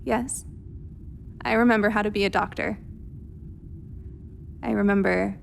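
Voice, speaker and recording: A woman's voice: medium pitch, creaky, a little mellow, slight background noise. A woman playing a tender, wry, smitten doctor in a radio drama, in a hesitant conversational way. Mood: intimate. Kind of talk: naturalistic radio drama acting.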